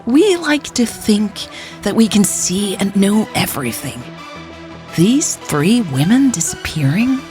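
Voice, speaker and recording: Woman putting on an earnest pundit, raised pitch. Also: big pitch swings, slow pace, darkish tone, faint music behind. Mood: musing, earnest.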